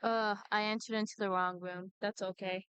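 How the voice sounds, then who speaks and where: A woman's voice: medium pitch, steady tone, moderate pace, a bit bright, clear, resonant tone, dry recording. A woman streaming a video game, chatting casually and reading dialogue aloud over game music.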